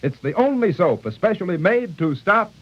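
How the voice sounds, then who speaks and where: A man's voice: high-pitched, very animated, normal speed. A man reading a scripted 1940s radio advertisement as a commercial announcer. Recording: dull 1940s radio recording.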